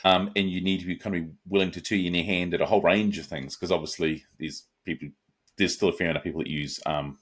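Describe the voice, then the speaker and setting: A middle-aged man with a New Zealand accent, a guest answering interview questions in a relaxed, reflective way. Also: deep voice, fast talker, slightly rough. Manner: relaxed, reflective, with frequent restarts and asides.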